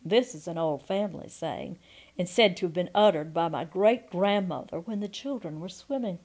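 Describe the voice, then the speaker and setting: A woman in her sixties reading a folksy memoir aloud as a storyteller, expressive and deliberate, with pauses. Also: on the low side. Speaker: storyteller and audiobook narrator. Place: quiet room at home, indoors. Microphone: microphone on a stand before her, seated.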